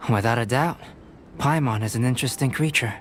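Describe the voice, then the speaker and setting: An actor playing a calm, scholarly young male alchemist in a video game, speaking in a measured, formal, reserved way. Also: mid-range voice, slight background noise, lightly acted. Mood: dry, thoughtful. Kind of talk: video game character dialogue. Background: game music.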